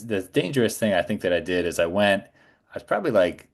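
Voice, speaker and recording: A man in his forties chatting casually as a podcast guest, thoughtful and a little halting as he phrases things. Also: deep voice, very animated, gravelly. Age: 40s. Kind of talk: casual chat.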